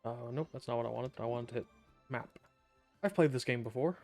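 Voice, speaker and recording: A man's voice: mid-range voice, fairly quick, darkish tone. A man giving casual, sarcastic gameplay commentary as a streamer, offhand, with game music behind him.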